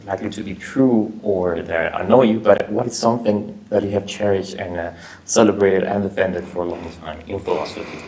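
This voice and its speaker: A man hosting a podcast, asking relaxed, loosely worded questions filled with "like". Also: deep voice, brisk, gravelly, low-quality recording. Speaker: podcast host. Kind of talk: casual interview questions.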